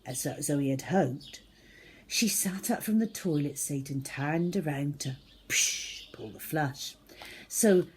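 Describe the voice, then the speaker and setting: A woman reading a children's book aloud as a storyteller, in an expressive, theatrical manner. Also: fairly low pitch, slightly rough. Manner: animated, theatrical, with acted-out sound effects.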